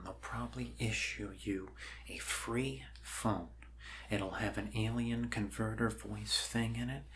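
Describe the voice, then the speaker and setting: A man with an American accent playing a friendly, rambling doctor in a soft-spoken ASMR roleplay, halting, reassuring and dryly jokey. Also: fairly low pitch, slight background noise. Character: friendly, rambling human doctor welcoming an alien to Earth.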